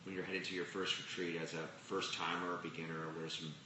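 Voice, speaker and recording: Deep-voiced man, hesitant beginner, distant in a very reverberant room. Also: little pitch movement. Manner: hesitant, drawn-out. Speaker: newcomer asking a question. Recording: distant, very echoey hall with noise.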